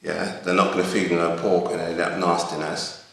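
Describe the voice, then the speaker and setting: Unwell young man on a psychiatric assessment: low voice, halting, repetitive, suspicious, very roomy sound. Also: little pitch movement, creaky, fairly bright tone. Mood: subdued, muddled. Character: unwell, suspicious young male psychiatric patient fixated on music. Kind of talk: acted training film dialogue. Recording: echoey room.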